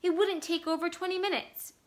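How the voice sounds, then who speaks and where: A woman's voice: very high-pitched, fairly even intonation, brisk, darkish tone, pitched above the performer's own voice. A woman voicing a crafty, persuasive old grandmother in a story reading, coaxing and insistent.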